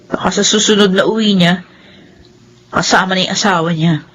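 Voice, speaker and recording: A woman's voice: medium pitch, fairly even intonation, moderate pace, fairly bright tone, breathy edge, rough recording. A woman playing an outspoken, inquisitive younger sister in a Filipino radio drama. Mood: gossipy, disapproving.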